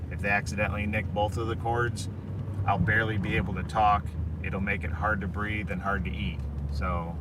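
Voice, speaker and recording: A man's voice: fairly low pitch, far from the mic. Worried man in his thirties, driving. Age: 30s. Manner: candid, worried, rambling with fillers. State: tired, foggy. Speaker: vlogger. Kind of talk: casual live video while driving. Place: inside a car on the road. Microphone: phone in a moving car. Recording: loud car noise, voice off-mic. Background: road and car noise.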